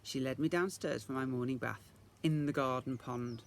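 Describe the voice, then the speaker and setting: A man reading a children's story aloud in the expressive style of a teacher reading to young children. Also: medium pitch, moderate pace, gravelly.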